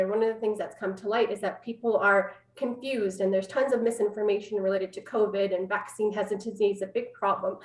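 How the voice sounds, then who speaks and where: A woman in her thirties with a Canadian accent, explaining her work conversationally as an interview guest over a video call from home. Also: mid-range voice. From Canada, born in Afghanistan. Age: 30s. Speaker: interview guest, public health scientist. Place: room at home. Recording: roomy, distant video-call microphone.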